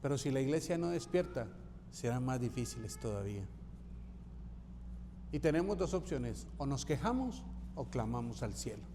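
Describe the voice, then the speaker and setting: A man's voice: medium pitch, sing-song, normal speed, long pauses, big-room sound, noisy background. A man from Colombia, preaching a sermon as pastor, emphatic and repeating key phrases for rhythm. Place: church sanctuary.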